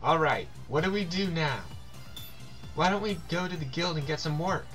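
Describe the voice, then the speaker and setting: A man's voice: on the high side, moderate pace, a bit bright, faint music behind. A man doing casual game commentary, reading on-screen text aloud and stumbling over words now and then.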